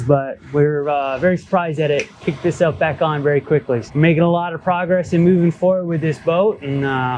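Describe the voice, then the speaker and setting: A man's voice: fairly high pitch, a little mellow. A man with a slightly raised voice giving a plain, matter-of-fact update on the boat repairs. A faint hiss of background noise sits behind him. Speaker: boat mechanic. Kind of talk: casual work update.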